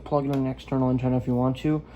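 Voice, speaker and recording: A man's voice: medium pitch, flat delivery, racing, mellow, dull audio. Man casually reviewing gadgets, noisy recording. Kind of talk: casual unscripted product review.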